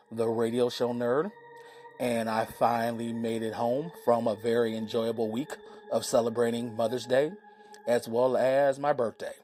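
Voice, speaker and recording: A man hosting a podcast, reading a scripted introduction in deliberate phrases with dramatic pauses. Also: mid-range voice, a little mellow. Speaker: podcast host. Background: music.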